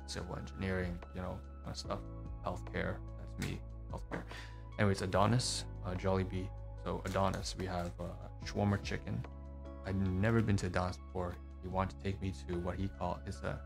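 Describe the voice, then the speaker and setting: A man's voice: sits low, creaky. A man vlogging in a casual, digressive monologue, chatty and self-mocking. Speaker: vlogger reviewing receipts. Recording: music playing underneath.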